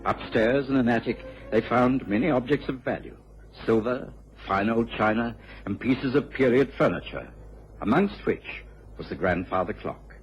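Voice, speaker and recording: An actor playing a genteel, earnest gentleman companion of a detective in a radio drama, telling the case expressively. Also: medium pitch, expressive, normal speed, lightly acted. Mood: measured, grave. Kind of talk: radio drama narration and acting. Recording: dull, lo-fi old radio recording with faint hiss.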